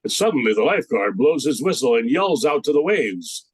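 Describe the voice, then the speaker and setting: A man's voice: medium pitch, very animated, brisk, husky, no echo. An older man narrating a scripted radio play as its storyteller.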